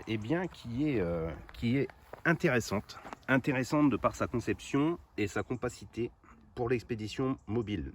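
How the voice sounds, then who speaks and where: A man presenting gear in a casual, hands-on way as a vlogger, halting as he thinks aloud, recorded outdoors. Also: on the low side. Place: outdoors on high ground. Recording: noisy outdoor camera recording.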